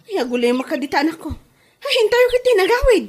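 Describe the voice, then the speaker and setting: A woman acting a fussy village mother, easily disgusted and quick to scold. Her voice is extremely high, with an Ilocano Filipino accent, in comedy audio drama style. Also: big pitch swings, a little hiss or hum. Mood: worried.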